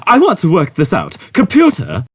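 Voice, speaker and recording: A brash, impatient space rogue played by a man for a sci-fi audiobook. He talks in slangy exclamations, drawling out words, raised in pitch and swooping, with a slightly rough edge. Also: huge pitch swings, normal speed, no room sound, heavily acted. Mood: irritated. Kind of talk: audiobook character voice.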